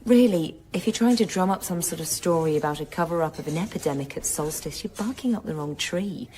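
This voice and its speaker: A woman playing a brisk, dismissive family doctor in a naturalistic radio drama, firm, matter-of-fact and condescending. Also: fairly low pitch, lively intonation, warm tone, slight background noise, lightly acted.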